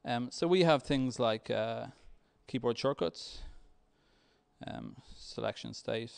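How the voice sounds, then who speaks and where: Man, developer giving a tech talk, gravelly fry, average pitch, hesitant phrasing. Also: slow, darkish tone. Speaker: software developer presenting at a tech meetup. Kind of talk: conference talk with live demo.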